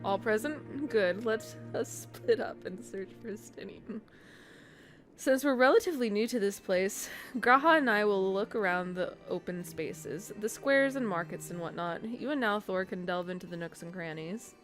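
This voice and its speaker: A woman voicing an earnest, brisk and outspoken young female elf in a fantasy game. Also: medium pitch, fairly bright tone, natural voice. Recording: game music behind the voice.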